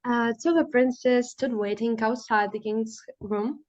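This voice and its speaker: Russian woman reading aloud haltingly. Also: on the high side, darkish tone, muffled recording. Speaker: club participant. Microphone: video call.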